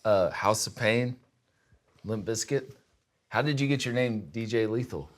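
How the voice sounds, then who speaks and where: A man's voice: fairly low pitch, unhurried. A man hosting a casual interview, speaking in a laid-back, slangy way. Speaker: interview host.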